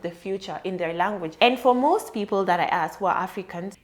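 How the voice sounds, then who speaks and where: A woman talks alone on her podcast, working through an idea in an explanatory, conversational manner. Her voice sits at a middling pitch for a woman, and her tone is thoughtful and easygoing. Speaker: podcast host. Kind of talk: solo reflective podcast monologue.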